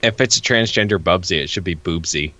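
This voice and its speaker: A man's voice: low-pitched, a little fast, bright, forceful tone, lo-fi sound. A man co-hosting in casual banter, sardonic and exasperated, with plenty of swearing. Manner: exasperated, sardonic, foul-mouthed. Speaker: co-host reacting to a webcomic.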